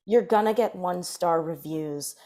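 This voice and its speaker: Woman, slightly low pitch, earnest, emphatic, panel discussion, creator giving advice. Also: normal speed, dark tone. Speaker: panelist, fiction podcast creator.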